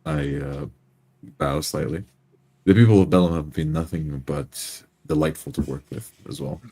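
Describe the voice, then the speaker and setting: A man's voice: a bass voice, normal speed, dark tone, breathy edge. A man playing a tabletop roleplaying game, describing his character's actions and speaking in character.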